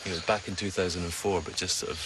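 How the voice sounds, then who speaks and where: A man's voice: sits low, fairly even intonation, quick pace, noisy background. A man presenting a wildlife documentary, explaining and narrating in an earnest way. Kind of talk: scripted narration and on-location explaining.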